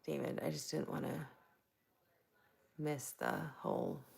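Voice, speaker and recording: A soft-spoken woman from Tampa, Florida, chatting casually with viewers while hosting a live stream into a plugged-in microphone. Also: very deep voice, wildly swooping pitch, measured pace, vocal fry, slightly rough. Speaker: livestream host.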